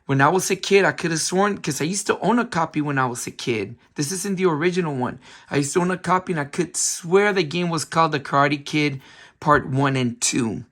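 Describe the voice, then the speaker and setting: Hoarse, chatty man reviewing games. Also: a little high, fairly quick, fairly bright tone. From San Francisco Bay Area. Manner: chatty, many ums, restarts himself. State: hoarse, recovering voice after a cold. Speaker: retro game collector channel host. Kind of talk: casual video game review.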